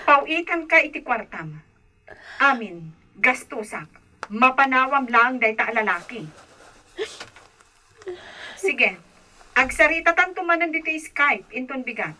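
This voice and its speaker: A woman's voice: sits high, sing-song, takes it slowly, long pauses, pushed and bright, slight background noise, exaggerated voice, poor audio. A Filipino woman playing a protective, exasperated elder sister in a radio drama. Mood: scolding, urging. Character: scolding, protective elder sister urging escape. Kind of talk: Ilocano radio drama acting.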